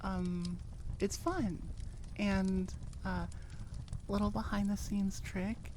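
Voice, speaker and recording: Female audio creator, informal and playful listener chat, soft dark tone, faint noise behind. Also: mid-range voice, low-quality recording. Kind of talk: casual chatty outro to listeners.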